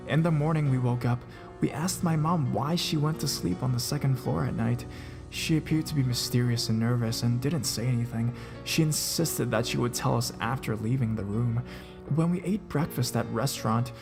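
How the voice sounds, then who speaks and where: Gravelly man narrating ghost stories. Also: medium pitch. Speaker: narrator of a ghost story channel. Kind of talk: scripted narration of reader-submitted stories. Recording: guitar music underneath.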